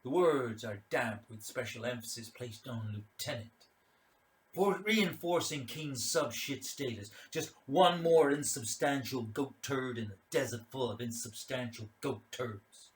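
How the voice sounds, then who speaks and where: A man's voice: medium pitch, a little slow, slight background noise. A man reading a literary short story aloud as a dramatic narration. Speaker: story reader.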